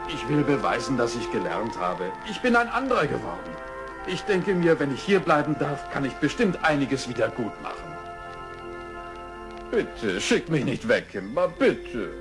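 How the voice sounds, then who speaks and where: A remorseful hunter pleads emphatically in a children's cartoon radio play. His medium-pitched voice swings widely, over clear background music. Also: big pitch swings, moderate pace. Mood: imploring. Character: repentant, pleading animal hunter, gluttonous sidekick.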